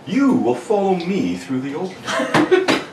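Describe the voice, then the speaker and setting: A high-pitched, ceremonious butler of a secret house, as a man acts him out, giving orders in courteous radio-serial fashion. His tone leaps up and down wildly, and he sounds distant in an echoey room. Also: huge pitch swings, heavily acted. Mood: courteous, formal. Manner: formal, deliberate servant-like speech. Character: polite, formal male butler guarding a secret house. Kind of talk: amateur read of a 1940 radio serial. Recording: echoey room, distant mic, faint noise.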